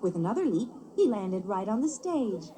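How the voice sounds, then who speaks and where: A woman narrating a children's story as a book on tape, expressive and clearly paced. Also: mid-range voice, normal speed, background noise.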